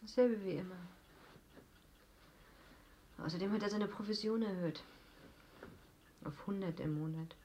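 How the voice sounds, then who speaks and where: An actress in a German radio drama playing a weary, matter-of-fact wife who talks in terse, practical sentences. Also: female voice, medium pitch, a little slow, pauses often, dark tone, slight background noise, close to an everyday voice.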